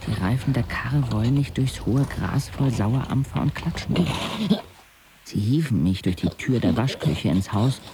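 A man's voice: mid-range voice, expressive, unhurried, background noise. An actor playing a sardonic paralysed teenage boy, narrating his life intimately in a dry, laconic way in a radio drama. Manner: dry, laconic, clipped phrases.

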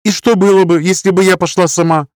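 Guy doing a nervy defensive woman almost 30, high pitched pleading voice, audiobook dialogue, super dry sound. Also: steady tone, fast, dry recording, close to an everyday voice. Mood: aggrieved. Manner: pleading, self-justifying, wordy. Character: anxious, defensive woman of nearly thirty, aspiring writer.

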